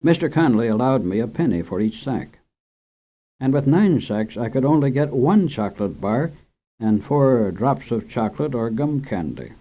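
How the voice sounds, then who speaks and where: A man reading a children's short story aloud for radio in a measured, gentle storytelling manner. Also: mid-range voice, very animated. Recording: dull lo-fi old radio recording.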